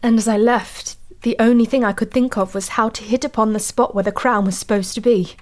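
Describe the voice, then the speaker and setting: A woman's voice: a little high, fairly even intonation, natural voice. Haunted woman antiquarian, confiding and uneasy played by an actress. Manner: measured, confiding, uneasy storytelling. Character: haunted, anxious woman antiquarian recounting a fateful dig. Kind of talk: audio drama acting.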